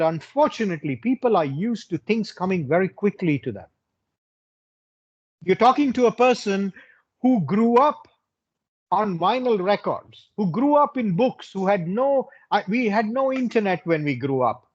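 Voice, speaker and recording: An older man in his sixties speaks with big swings of pitch and a rough, husky edge. He is emphatic, restating key phrases as he motivates young students. The sound is slightly dull and narrow. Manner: emphatic, repeats phrases for stress. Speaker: hotel general manager, guest speaker.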